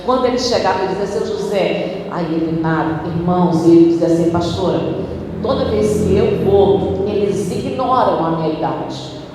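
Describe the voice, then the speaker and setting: A woman's voice: moderate pace, noisy background. Female pastor preaching in an echoey church. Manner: emphatic preaching with wide swings in pitch and tempo. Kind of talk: sermon. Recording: echoey church hall, recorded from a distance.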